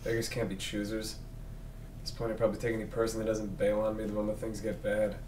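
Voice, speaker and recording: A man's voice: on the low side, completely flat delivery, fast, a little mellow, lightly acted. A man reading the part of a jaded, sarcastic police detective bluntly at a drama table read. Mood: guarded, bitter. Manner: blunt, sardonic. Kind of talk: script read-through of a sci-fi drama. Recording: roomy, distant, noisy group room.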